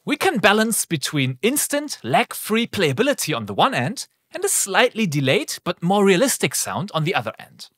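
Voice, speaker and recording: A man's voice: high voice, a bit bright, dry recording, clean studio sound. A young man giving a scripted product review in an enthusiastic, carefully phrased way. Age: young adult. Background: music behind the voice.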